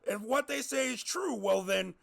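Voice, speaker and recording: A man voicing an earnest old-fashioned idealist character in a story, speaking in emphatic, halting oratory. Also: very high-pitched, gravelly, fairly bright tone, pitched above the performer's own voice, exaggerated voice. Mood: urgent protest. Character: earnest old-fashioned idealist movie-come-to-life, speechifying protester.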